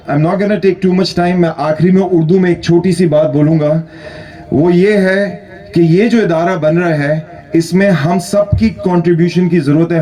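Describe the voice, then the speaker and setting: A man's voice: a little high, warm tone. A man from Pakistan giving an earnest speech at a hospital opening ceremony, thanking donors and calling for applause. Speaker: charity speaker at opening ceremony. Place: outdoor ceremony.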